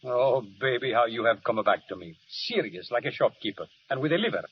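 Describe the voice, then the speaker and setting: An actor playing a jaunty, bullying, worldly Italian army captain with an Italian accent in an old-time radio drama, theatrical and teasing. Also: male voice, medium pitch, animated, a little fast, lightly acted. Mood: teasing, coaxing. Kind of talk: 1930s-40s radio drama acting. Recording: dull, hissy vintage radio broadcast.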